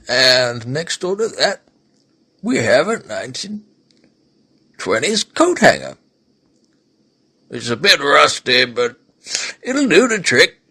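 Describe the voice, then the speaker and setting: A man's voice: mid-range voice, creaky, pushed and bright, breathy edge, heavily acted. A man playing an older eccentric junk hoarder, earnestly rambling as he proudly presents oddities.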